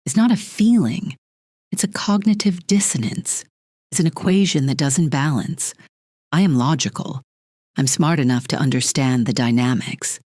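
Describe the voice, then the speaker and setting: Audio drama lead, female actor: imperious, controlling woman executive, clipped and measured delivery, low breathy register. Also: a little mellow, no echo, clean studio sound. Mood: defensive. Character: cold, controlling female corporate executive, divorced, armor cracking.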